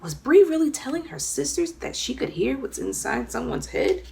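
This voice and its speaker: Woman reading a storybook with creaky voice. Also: high-pitched, moderate pace, slight background noise. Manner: expressive, dramatic storytelling. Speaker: children's author reading own book. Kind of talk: scripted storybook narration read aloud.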